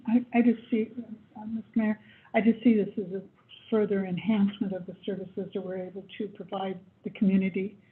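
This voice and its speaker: A woman on a city council gives a short comment, hesitating and repeating words in a flat, even tone. Her voice comes through thin and phone-like over faint background noise. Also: mid-range voice, a little fast. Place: council chamber.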